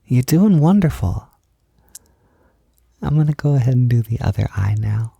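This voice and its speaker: Male, soft intimate roleplay, encouraging and gentle, gravelly fry, very dry close recording. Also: mid-range voice, animated, normal speed, no room sound. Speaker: roleplay audio performer. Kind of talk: soft-spoken intimate roleplay, like a personal makeup tutorial.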